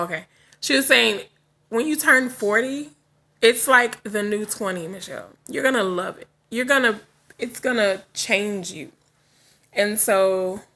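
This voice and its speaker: A woman's voice: mid-range voice, pushed and bright. A woman in her forties talking casually to camera in a vlog, frank and chatty, laughing often and drifting into asides, just woken up. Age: 40s. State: just woke up. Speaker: vlogger.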